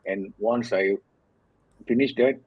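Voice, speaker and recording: A man in his fifties from Singapore, a guest in an interview, telling his story in an earnest, measured way with many fillers. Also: lowish voice, husky, no room sound, lo-fi sound. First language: Tamil. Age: 50s. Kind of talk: reflective conversational interview.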